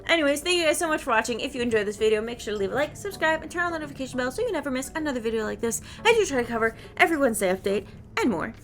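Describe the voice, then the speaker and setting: A woman's voice: sits high, expressive, very fast, hardly any pauses, fairly bright tone, slight background noise. A woman giving casual, rambling game commentary as a video creator, thinking aloud and questioning herself as she goes. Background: background music.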